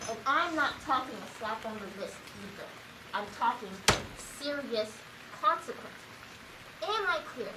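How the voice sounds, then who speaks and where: A young woman playing a small-town sheriff in a stage comedy, stern and insistent. The voice is high and rings around the hall, with noticeable noise. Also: animated, measured pace. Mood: stern warning. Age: teen. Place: school theatre stage. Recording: echoey noisy school stage.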